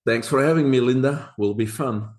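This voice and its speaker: A man's voice: medium pitch, moderate pace, a little mellow. A man in his fifties from Belgium, speaking with a Belgian accent and explaining things steadily as a guest doctor, with frequent filler sounds. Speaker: guest physician. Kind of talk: interview answers.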